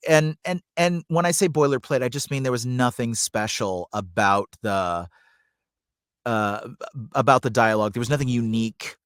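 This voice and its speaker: A man's voice: mid-range voice, normal speed, clear, resonant tone, dry recording, studio quality. A man talking as a voice actor and coach in an interview, telling stories conversationally and animatedly. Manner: animated, acts out examples as he explains.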